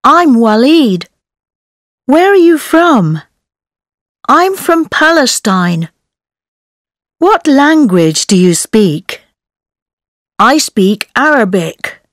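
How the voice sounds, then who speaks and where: A woman reading textbook questions and answers slowly and carefully for learners. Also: sits high, no room sound, clean studio sound. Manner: slow, careful model reading with lively intonation. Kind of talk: textbook audio dialogue.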